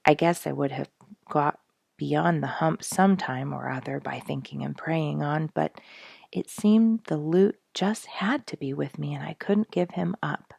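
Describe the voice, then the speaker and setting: A woman's voice: low voice, measured pace, creaky, a little mellow, husky, no room sound, studio quality, exaggerated voice. A woman reading aloud as a humble, reflective male soldier, earnest and full of wonder, in a storybook letter. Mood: earnest conviction.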